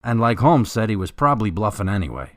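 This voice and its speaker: A man playing an unbothered, sardonic police officer in a radio drama, deadpan and casual. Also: lowish voice, quick pace, no echo, studio quality. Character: gruff, unbothered male police officer, sardonic risk-taker.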